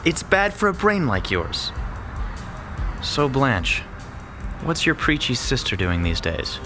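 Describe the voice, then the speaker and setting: A man's voice: medium pitch, huge pitch swings, slow, over music. A man playing a menacing, mocking young tough in an audio drama, condescending and lazily taunting. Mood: smug menace.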